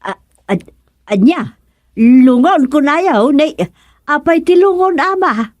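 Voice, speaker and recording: Exasperated daughter, slow delivery. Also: female voice, high-pitched, lively intonation, dry recording. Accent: northern Filipino. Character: exasperated daughter of a senile old man. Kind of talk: radio comedy drama acting.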